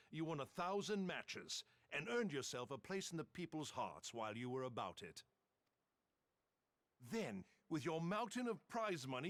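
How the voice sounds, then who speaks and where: Animated, wry male fantasy adventurer. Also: high voice, no room sound, heavily acted. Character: hearty adventurer, old friend and rival of a general. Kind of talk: video game voice acting.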